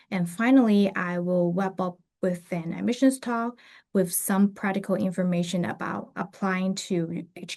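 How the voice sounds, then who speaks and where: A woman from a university admissions office opens and guides an online session. She talks in a relaxed, friendly way at a middle pitch, now and then dropping in an um or an uh. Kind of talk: webinar hosting.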